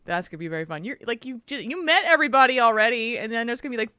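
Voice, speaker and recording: A woman's voice: a little high, lively intonation, very fast, sharp tone, clean tone, no echo, studio quality. A woman co-hosting a casual live-stream show, playful and laughing often. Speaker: podcast co-host.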